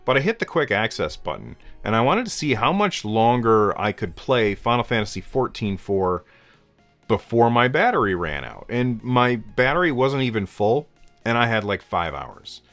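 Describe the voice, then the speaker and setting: A man in his forties, hoarse and stuffed up from a cold, hosting a gaming news show in a chatty way with many fillers. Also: medium pitch, sing-song, normal speed, a bit bright, no room sound, soft background music. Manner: gestures with his hands while talking. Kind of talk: casual video commentary. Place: home game room lit with colored lights.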